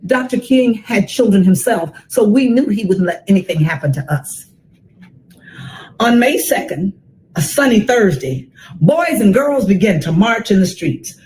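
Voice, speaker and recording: An American woman in her sixties reads a children's story aloud with dramatic, deliberate phrasing and emphatic repetition. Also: mid-range voice, expressive, a little mellow, rough recording. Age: 60s.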